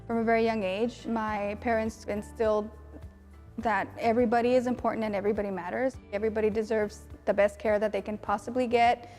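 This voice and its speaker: Young woman with a fairly high voice. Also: flat delivery, a little fast, darkish tone, soft background music. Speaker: nurse. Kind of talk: interview testimony.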